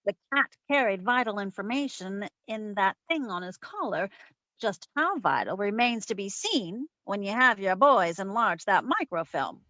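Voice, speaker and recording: A woman's voice: mid-range voice, sing-song, normal speed, sharp tone, dry recording, low-quality recording. A woman playing a wisecracking, self-assured female private eye in a wry, slangy 1940s radio detective style. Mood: wry recounting. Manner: wry, slangy, theatrical storytelling. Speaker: community theatre voice actor.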